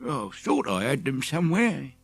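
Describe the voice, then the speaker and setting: A man's voice: a little high, very animated, moderate pace, creaky, soft background music, pitched above the performer's own voice. A man voicing a blunt but helpful old village shopkeeper with country phrasing in a children's audiobook. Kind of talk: children's audiobook character acting.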